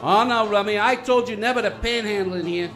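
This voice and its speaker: A man acts out a bullying barman for a radio play. He is brusque and streetwise, with a very high-pitched, forceful delivery. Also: brisk, soft background music. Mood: gruff, irritated. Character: gruff, hostile barman who bullies customers.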